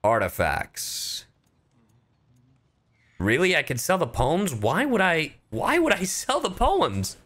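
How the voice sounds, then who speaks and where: A man's voice: fairly high pitch, wildly swooping pitch, slow pace, long pauses, a bit bright. A man doing casual, profane, jokey livestream commentary while he plays a game, sometimes reading aloud theatrically. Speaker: streamer, gamer.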